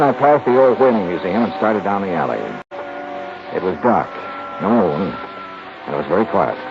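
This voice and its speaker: An actor playing a terse, wry, hard-boiled investigator in a 1950s radio drama. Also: male voice, on the low side, background noise. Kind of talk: 1950s radio acting with first-person narration. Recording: dull 1950s radio recording.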